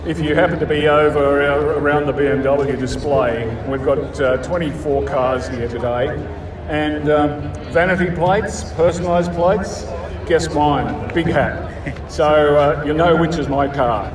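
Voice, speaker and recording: An Australian man from the bush giving a casual interview as a car club member, halting but enthusiastic. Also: a little high, fairly even intonation. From rural Australia. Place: outdoors at a motor show. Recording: echoey outdoor PA, distant, crowd chatter. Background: crowd.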